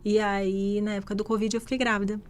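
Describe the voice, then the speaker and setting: A woman's voice: medium pitch, little pitch movement, fast talker, a little hiss or hum. A woman from Rio de Janeiro with a Carioca accent, answering interview questions as a guest doctor in an explanatory, conversational way. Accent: Carioca Brazilian Portuguese. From Rio de Janeiro, Brazil. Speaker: guest infectious disease doctor. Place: live radio podcast interview.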